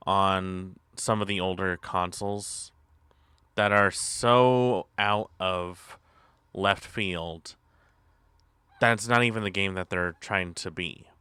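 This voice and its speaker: A man streaming and chatting casually as he reacts to videos, with halting, drawn-out phrasing. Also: on the low side, pushed and bright, smooth, no room sound.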